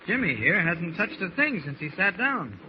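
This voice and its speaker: Upright radio serial hero, earnest n measured, slightly high voice, hissy muffled old recording. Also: male voice, big pitch swings. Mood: concerned. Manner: earnest, well-spoken, measured. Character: upright, concerned adult man, calm and authoritative hero. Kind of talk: 1940s radio acting.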